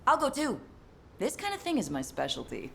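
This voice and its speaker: An actress playing an excitable, curious, outspoken young woman engineer, chatty and exuberant, in a video game. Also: a little high, a little fast, vocal fry, a little hiss or hum, heavily acted. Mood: teasing, enthusiastic. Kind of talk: video game cutscene acting.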